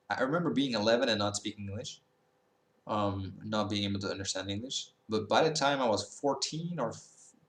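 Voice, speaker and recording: A man's voice: on the low side, echoey room. A man in his early twenties, talking casually to camera in a rambling way, full of fillers and self-corrections. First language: Dutch. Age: 20s. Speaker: language-learning YouTuber.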